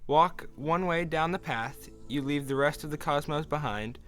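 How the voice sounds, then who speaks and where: A man playing a calm otherworldly guide of the dead in an audio drama, measured, cryptic and probing. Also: on the high side, soft background music, close to an everyday voice. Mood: calm, explanatory.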